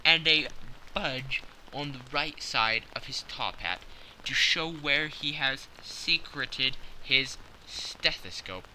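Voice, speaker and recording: A man voicing a brisk, sharp-minded Victorian detective who lectures with crisp self-assurance in an audiobook. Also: medium pitch, slight background noise, low-quality recording. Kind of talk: audiobook character reading.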